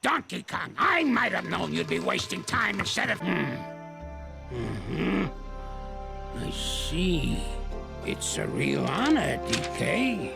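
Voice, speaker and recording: A man's voice: high-pitched, big pitch swings, takes it slowly, gravelly, music in the background, heavily acted. An actor playing a grumpy old male ape in a cartoon, a teasing prankster who scolds and calls names. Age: older. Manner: scolding, teasing, name-calling. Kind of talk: cartoon voice acting.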